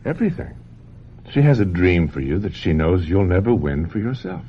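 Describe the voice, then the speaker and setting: An actor playing a manipulative, domineering older film director in a radio drama, speaking theatrically and insistently with deliberate, condescending emphasis. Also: male voice, low voice, sing-song. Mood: pressing, patronizing. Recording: dull, noisy old radio drama recording.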